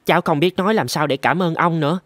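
A man's voice: a little high, fast talker, a bit bright, no room sound, studio quality, close to an everyday voice. A man voicing a humble young violinist in a cartoon, with a polite and earnest manner. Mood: grateful. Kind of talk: animated cartoon dialogue.

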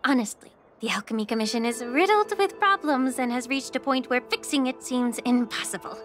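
Brief: a poised, gracious young alchemist, gentle delivery, game score under. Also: female voice, high-pitched, fairly quick. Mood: polite. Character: poised young woman alchemist, graceful and composed. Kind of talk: game voice acting. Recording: game audio with clear background music.

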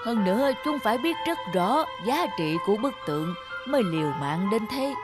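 A man voicing a wise, kindly and crafty elderly retired official in a cartoon, measured and reflective. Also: high-pitched, very animated, loud music behind the voice. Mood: calm, thoughtful. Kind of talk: cartoon dialogue.

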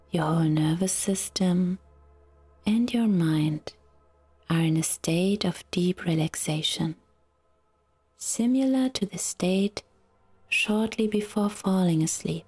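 A woman guiding a relaxation meditation in a soft, soothing, half-whispered voice with long pauses. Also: lowish voice, slightly rough. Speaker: yoga nidra guide.

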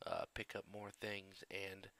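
Slow-talking young man with a cold. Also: sits low, completely flat delivery, bright, forceful tone, dry recording. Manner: swearing, offhand. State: has a cold. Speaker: let's play host. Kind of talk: casual gameplay commentary.

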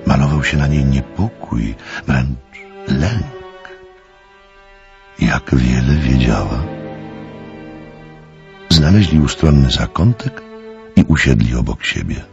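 Niezwykle niski męski głos narratora. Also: male voice, extremely low pitch, animated, slow pace, vocal fry, pauses often, a little mellow. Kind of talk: scripted dramatic story narration. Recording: slightly dull audio with music underneath.

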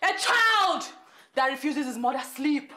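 An actress playing a gleefully menacing, theatrical woman with a Nigerian accent, shrill and exclamatory, taunting in repetitive sing-song. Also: expressive, unhurried, sharp tone, exaggerated voice. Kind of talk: film drama acting, chanting and proverbs.

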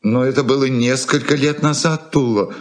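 An actor as a hesitant, doddering old uncle. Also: male voice, mid-range voice, steady tone, moderate pace, close to an everyday voice. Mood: meek, flustered. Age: older. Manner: hesitant, repeating himself. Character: doddering, henpecked old uncle, meek and confiding. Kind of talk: Soviet radio play acting, 1980s.

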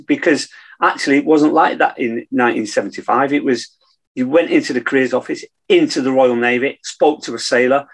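Guest: northern English male, 60s, creaky husky voice, casual storyteller on a video call. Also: medium pitch. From Manchester, England. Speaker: podcast guest, navy veteran. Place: room at home, video call.

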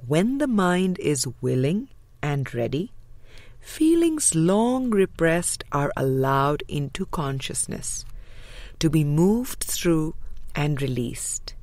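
A woman reading a spiritual audiobook with measured, deliberate phrasing. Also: sits low, a little mellow, no echo. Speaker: audiobook reader.

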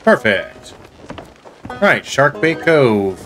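Unhurried man chatting over game music. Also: medium pitch, sing-song, fairly bright tone. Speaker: gamer playing co-op with friends. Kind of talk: casual gaming chat.

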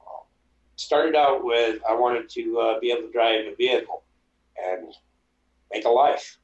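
A man in his thirties from New York, a guest speaking calmly with many pauses over a video call from home. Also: medium pitch. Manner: calm, plain, many filler pauses. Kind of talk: casual interview chat. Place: home room. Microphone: video call with webcam mic. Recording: dull lo-fi webcam audio.

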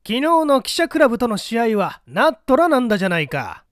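A pompous older bureau chief, acted out by a male reader, brisk and blustering in an old-fashioned gentlemanly way. The pitch is lifted and swings widely, in a dry storybook recording. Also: big pitch swings, dry recording. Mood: jovial, blustering.

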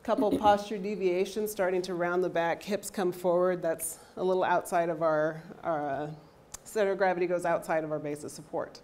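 Loud woman lecturing in a hall. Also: on the low side, brisk. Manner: loud, energetic, checks in with "okay?" often. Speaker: athletic trainer and lecturer. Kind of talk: public health lecture. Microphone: handheld microphone.

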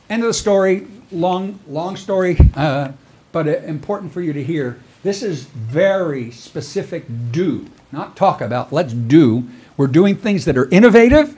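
Middle-aged male presenter, earnest, filler-heavy council report, upper-range voice. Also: a little high. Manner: earnest, fast-moving with frequent fillers. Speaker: workforce program presenter. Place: council chamber, standing before seated audience.

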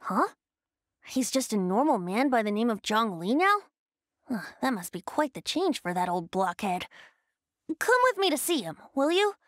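A woman's voice: fairly high pitch, big pitch swings, moderate pace, no echo, clean studio sound. A woman voicing a cheeky boyish bard god. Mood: teasing. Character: playful young male bard god, carefree. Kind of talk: game character voice line.

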